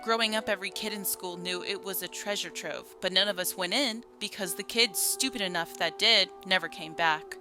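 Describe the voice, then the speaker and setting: A woman performs a haunted young caller on a horror radio drama. Her medium-pitched voice builds suspense as she recounts her ordeal, lingering on eerie details, with music clearly playing underneath. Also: moderate pace, hardly any pauses, lightly acted. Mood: rueful.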